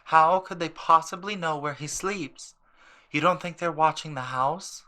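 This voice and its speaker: A man's voice: fairly high pitch, a little slow, sharp tone, pitched above the performer's own voice. A man voicing a fretful, quivering middle-aged aunt, full of fear, in an audiobook drama. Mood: fearful, pleading. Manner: quivering, fearful. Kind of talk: audiobook drama character acting.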